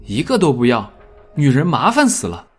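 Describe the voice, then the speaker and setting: A man's voice: medium pitch, wildly swooping pitch, normal speed, husky, faint music behind. A man voicing a sullen, stubborn young boy in an audiobook, in a huffy, terse manner. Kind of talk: audiobook character acting.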